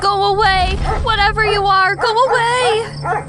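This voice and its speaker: An actress playing a frightened, tender wife in an audio drama, breathless with fear and wonder. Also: female voice, very high voice, fairly even intonation, measured pace. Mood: terrified. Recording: loud music under the voice.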